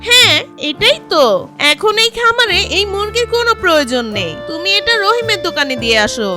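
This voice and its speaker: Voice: very high-pitched, sing-song, a little fast, music in the background. A performer voicing a practical village woman in a children's cartoon, speaking in a matter-of-fact, instructing way. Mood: brisk, practical. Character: practical village woman, mother or farm owner. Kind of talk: children's moral cartoon dialogue.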